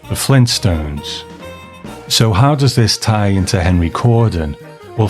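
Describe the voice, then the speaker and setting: A man presenting scripted commentary as a podcast host, measured and explanatory in manner. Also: lowish voice, darkish tone. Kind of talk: scripted commentary on classic television.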